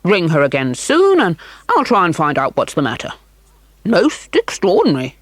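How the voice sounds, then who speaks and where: A woman voicing a puzzled doctor, a grown man, brisk and musing over a mystery. Her own voice carries him with little change, close and dry. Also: fairly low pitch, huge pitch swings, no room sound.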